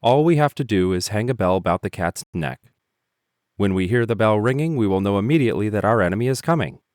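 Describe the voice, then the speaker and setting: A man's voice: on the low side, expressive, darkish tone, no echo, studio quality, pitched above the performer's own voice, natural voice. A man voicing an eager, confident young mouse in a storybook reading. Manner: eager and quick.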